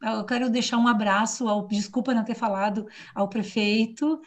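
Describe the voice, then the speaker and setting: A woman's voice: on the high side, moderate pace, slight background noise. A woman musician talking at an online meeting, hesitant, with many fillers and restarts. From Flores da Cunha, southern Brazil. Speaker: musician and singing teacher. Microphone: video call.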